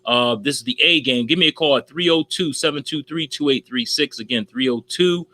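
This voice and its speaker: Bright-voiced man, casual salesman. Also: mid-range voice, fairly quick. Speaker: co-host promoting his supplement.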